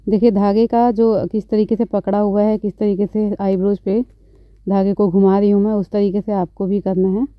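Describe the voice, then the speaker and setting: A woman beautician calmly explaining eyebrow threading step by step, as she works, often repeating her phrases. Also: medium pitch, moderate pace, dry recording. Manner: calm, instructive, repeats phrases. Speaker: beautician, channel host. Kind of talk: step-by-step tutorial narration.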